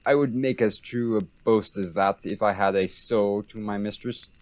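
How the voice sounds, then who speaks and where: A man's voice: lowish voice, fairly even intonation, moderate pace, a little mellow, no echo, lightly acted. Young man as wry, sardonic French commander. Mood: wry sparring. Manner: dry, wry. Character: sardonic French military commander, dry and mocking. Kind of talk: radio theater Shakespeare acting.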